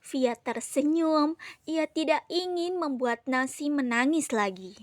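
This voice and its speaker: Young woman storyteller, high and unhurried. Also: no room sound, clean studio sound. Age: young adult. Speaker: student storyteller. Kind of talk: children's storytelling narration.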